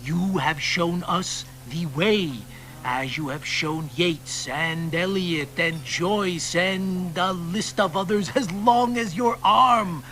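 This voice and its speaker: An actor playing an eager young male poet who flatters an older writer in a radio play. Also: sits high, slow, slight background noise, full character voice. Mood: earnest. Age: young adult. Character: earnest young male admirer, persistent.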